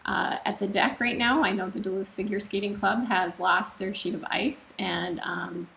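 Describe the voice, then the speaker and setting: A woman with a creaky, fry-edged voice of medium pitch answers as a candidate at a public forum. There is faint noise in the background. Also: fairly even intonation, moderate pace, a bit bright, low-quality recording. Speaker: city council candidate. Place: indoor TV studio.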